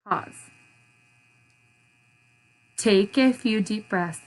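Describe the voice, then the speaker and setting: A woman reading a children's story aloud as an educator, pausing to ask questions and explain things to young listeners. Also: mid-range voice, rough recording.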